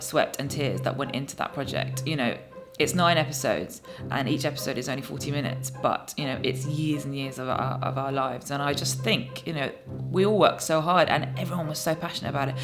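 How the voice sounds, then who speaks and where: A woman's voice: sits low, a little fast, music in the background. A woman who founded a theatre company, reminiscing casually in an interview.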